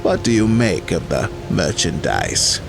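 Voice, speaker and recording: A man voicing a smug alien crime boss in an audio drama, formal, deliberate and menacing. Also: low-pitched, big pitch swings, creaky, music in the background, full character voice. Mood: smug, unhurried. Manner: formal, refers to self in third person, deliberate. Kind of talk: audio drama villain acting.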